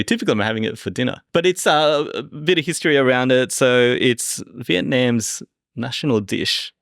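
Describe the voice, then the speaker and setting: Casting: middle-aged Australian man, podcast co-host, mid-pitched gravelly voice, dry close sound, explains things conversationally. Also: no room sound. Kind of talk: casual food and wine chat.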